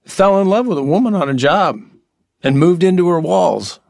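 An actor playing an odd, nostalgic exterminator in an audio drama, earnest and halting with a childlike sense of wonder. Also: male voice, high voice, expressive, gravelly, a little mellow, dry recording, studio quality. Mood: deferential, earnest. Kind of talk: intimate audio drama acting.

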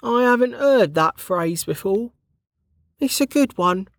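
A careful, rule-minded female guard, as a narrator puts her on, voiced higher than the narrator's usual tone. She speaks slowly, with a lively lilt. The sound is dry and close. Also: dry recording. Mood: earnest. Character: dutiful, anxious female guard.

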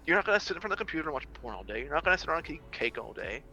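A man's voice: on the high side, big pitch swings, rapid-fire, sharp tone. A young adult man in casual conversation, rambling through ideas with many restarts and fillers. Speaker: social media creator on biblical masculinity.

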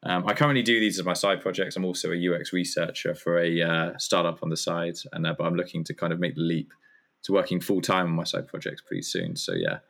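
Guest, male, thirties, very low voice, loose and hesitant delivery. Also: fast talker, creaky, a bit bright. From Germany. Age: 30s. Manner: rambling, hesitant with many fillers. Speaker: guest, community founder. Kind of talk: casual interview chat.

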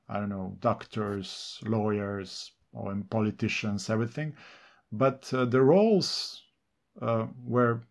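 A male novelist from Slovenia, a native Serbo-Croatian speaker, answering interview questions reflectively in English with many pauses, fillers and restarts. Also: mid-range voice, darkish tone.

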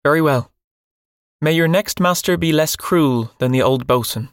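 A man's voice: on the high side, moderate pace, clean tone, dry recording, clean studio sound, voice pushed up, natural voice. A man voicing a stern, cold young pirate captain who speaks in clipped commands. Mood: calm, mocking.

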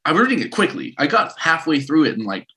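A man in his thirties co-hosting a casual podcast, telling stories in an animated, rambling way and often restarting his sentences. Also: on the high side, fast. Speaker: podcast co-host.

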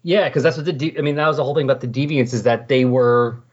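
A man with a husky voice hosts a relaxed discussion show. He explains things conversationally at an everyday pitch. The recording is a little dull and lo-fi. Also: fast talker. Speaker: podcast host. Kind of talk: casual comic-book recap discussion.

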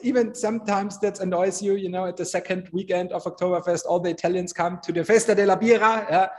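A man's voice: sits high, steady tone, fast, a little mellow, long reverb tail. A man in his thirties from Austria, speaking with an Austrian accent, a brewer telling stories in a chatty, laughing way. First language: German. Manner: chatty, laughs often, many fillers. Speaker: brewer guest. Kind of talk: casual storytelling.

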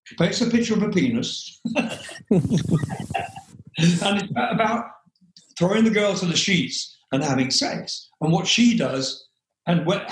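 An older English man with a loud speaking voice, telling rambling stories as an interview guest, with many fillers and frequent laughs. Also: sits high, roomy sound, rough recording. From England. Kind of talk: relaxed storytelling interview.

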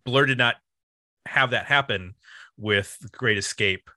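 A man's voice: medium pitch, measured pace, pushed and bright, no echo. A man with a nasal Buffalo, New York accent hosting a casual music podcast in a joking, chatty, digressive way. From US East Coast. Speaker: podcast host.

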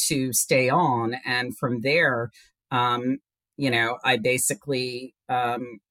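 A man's voice: medium pitch, steady tone, slow pace, bright, forceful tone, no echo. A man in his forties from Hong Kong with a mixed British-leaning international accent, a human resources executive answering interview questions thoughtfully, with many fillers. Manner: thoughtful, hesitant with many fillers, laughs easily. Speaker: HR executive guest.

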